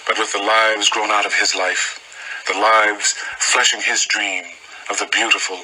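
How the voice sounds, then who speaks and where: A man's voice: lowish voice, level tone, unhurried, sharp tone, husky, rough recording. A man reciting poetry solemnly, with emphatic short phrases. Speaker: poetry reader.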